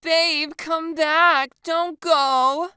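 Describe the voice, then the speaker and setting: A woman voicing a playful, excitable young woman with a bubbly, teasing, theatrical manner in an audiobook story. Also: very high voice, drawn-out words, no echo, clean studio sound, pitched above the performer's own voice, heavily acted. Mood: mock-pleading melodrama. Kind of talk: audiobook character dialogue.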